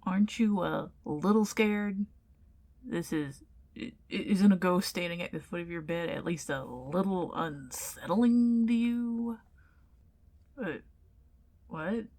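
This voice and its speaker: A woman plays a flustered female ghost in an ASMR roleplay. Her voice sits a little low for a woman, and she speaks slowly. She is huffy and defensive, stammering as she insists on being taken seriously. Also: darkish tone. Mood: indignant, flustered. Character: indignant, flustered tsundere ghost woman, over a century old.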